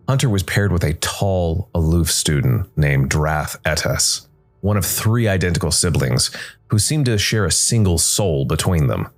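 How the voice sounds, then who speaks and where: A man narrating a scripted dark fantasy story in a measured way, with dramatic pauses. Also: sits low. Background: background music.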